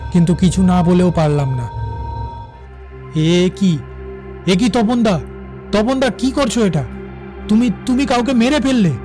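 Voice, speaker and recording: A railway officer played by a man tells his own ghost story for a horror cartoon. His fairly high voice is suspenseful and halting, repeating words for dramatic effect. Music runs clearly behind him. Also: natural voice. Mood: shocked.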